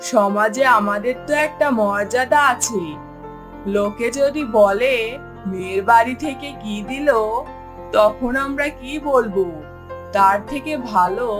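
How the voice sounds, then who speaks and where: A woman's voice: on the high side, slow pace. A woman playing a status-minded, demanding mother of a groom in a cartoon. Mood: haughty, demanding. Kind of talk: cartoon dialogue acting. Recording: music behind the voice.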